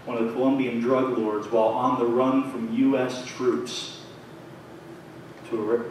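A man in his thirties preaching a sermon in a small church, his phrases rising and falling in a measured way. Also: medium pitch, level tone, darkish tone. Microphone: far camera, pulpit mic. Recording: very echoey church from far off, faint noise.

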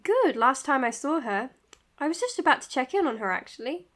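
A woman's voice: sits high, very animated, fairly quick, fairly bright tone. An actress playing a caring, tired woman doctor in a naturalistic audio drama, speaking earnestly and hesitantly. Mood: flustered. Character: anxious, caring, tired young female doctor. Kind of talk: naturalistic audio drama, confessional podcast narration.

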